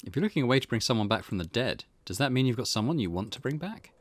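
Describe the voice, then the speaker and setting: A man's voice: medium pitch, expressive, fast talker, dry recording, close to an everyday voice. A man reading the part of an earnest, polite young man, considerate and full of questions, in a visual novel. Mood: curious. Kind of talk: visual novel character read-aloud.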